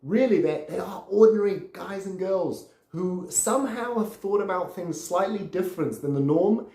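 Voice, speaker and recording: Earnest Brazilian-born man, mellow voice. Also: high voice, moderate pace, reverberant. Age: 30s. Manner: earnest, repeats words while searching for phrasing. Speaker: podcast host. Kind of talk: casual storytelling monologue.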